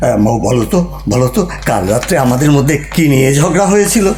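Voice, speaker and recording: A man's voice: medium pitch, animated, quick pace, echoey room, music in the background, lightly acted. A man playing a blustering, defensive husband in a comedy drama, spluttering indignantly and repeating his words. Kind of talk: Bengali audio comedy play acting.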